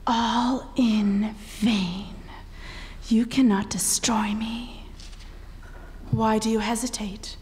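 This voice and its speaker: Creepy invisible she-spirit, real slow breathy taunts, radio horror, echoey hall. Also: on the high side, steady tone, darkish tone. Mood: cold taunting. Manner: slow, drawn-out, breathy near-whispers. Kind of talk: old-time radio horror acting on stage. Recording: hollow hall recording with hiss.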